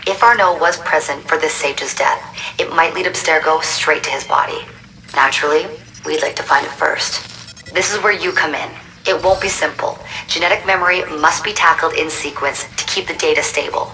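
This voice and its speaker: Covert agent handler, deep bright voice, matter-of-fact, urgent, game briefing, distant mic, faint noise. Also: female voice, normal speed, soft background music. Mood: serious, briefing. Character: serious, businesslike woman briefing covert agents. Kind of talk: video game briefing monologue.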